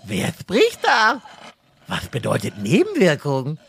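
A woman voicing a dim-witted, easily distracted male warrior in a parody audio drama, talking in a slow, dreamy, simple-minded way. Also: low voice, wildly swooping pitch, creaky, a little hiss or hum, exaggerated voice.

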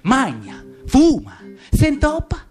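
A man's voice: extremely high pitch, wildly swooping pitch, slow, darkish tone, faint music behind, voice pushed up, heavily acted. A man voicing a fiery socialist male crocodile, ranting in a Roman accent like an agitator on a soapbox. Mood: indignant.

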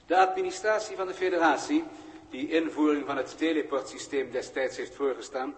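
A man playing a courteous, unflappable galactic bureaucrat in a 1960s radio drama, measured and detached. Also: a little high, close to an everyday voice. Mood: polite, dry. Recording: old radio recording, long hall reverb.

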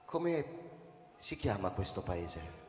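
Flustered spaceship commander in an echoing hall. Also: male voice, low voice, big pitch swings, slow pace, a little mellow, exaggerated voice. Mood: flustered, polite. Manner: hesitant stammering courtesy, emphatic. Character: male spaceship commander, flustered, courteous, doubtful, authoritative. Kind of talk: theatrical radio drama acting. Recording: roomy reverberant hall, music underneath.

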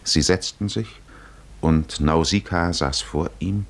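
A man narrating a literary 1950s radio drama in a solemn, measured manner with deliberate pauses. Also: sits low.

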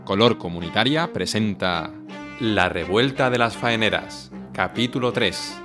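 A man's voice: fairly low pitch, lively intonation, a little slow. A man performing as the formal announcer of a radio drama, reading scripted narration. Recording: music behind the voice.

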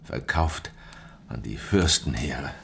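An actor playing a guarded, world-weary fugitive rebel veteran in a German historical radio drama, grave and terse in manner. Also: male voice, rumbling low, takes it slowly, gravelly, noisy background, exaggerated voice. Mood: grave, bitter.

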